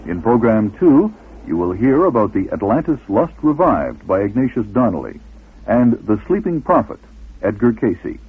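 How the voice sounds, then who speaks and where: A man narrates a radio documentary from a script as its announcer. His voice is lowish and strongly husky. It comes through thin and muffled, like an old broadcast over a telephone line. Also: big pitch swings, moderate pace. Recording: muffled, phone-like vintage radio recording.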